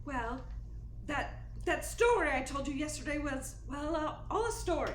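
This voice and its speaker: A woman's voice: sits high, animated, normal speed. A woman playing a secretive, helpless-sounding young femme fatale in a noir radio play, with a pleading throb in her delivery. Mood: contrite. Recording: roomy echo, clear background noise.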